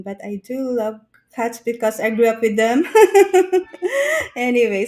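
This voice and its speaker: A woman's voice: on the high side, a little mellow. A woman hosting a live-streamed interview, friendly and eager, laughing often. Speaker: host.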